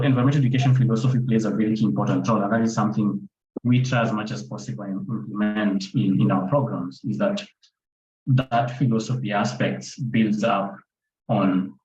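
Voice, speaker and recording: A man's voice: on the low side, fairly even intonation, fairly quick, darkish tone. Guy on a panel call, emphatic, repeats himself, muffled audio w some background noise. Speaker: panelist, environmental educator. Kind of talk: extemporaneous panel discussion. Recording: roomy, dull, noisy call audio.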